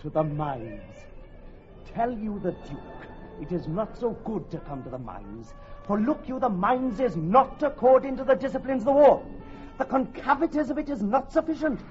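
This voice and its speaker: A pedantic Welsh army captain, pitched very high and quick to argue. He hammers his points with excitable emphasis in Shakespearean radio drama style. The recording is muffled, with music behind him. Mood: indignant. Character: fussy, argumentative Welsh army captain, pedantic about war.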